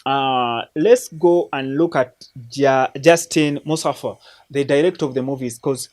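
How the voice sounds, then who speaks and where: A man's voice: mid-range voice, steady tone, measured pace, darkish tone. A man from Uganda, hosting a film recommendation show in an earnest, preacherly way, often restarting his words. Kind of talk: casual spoken film review.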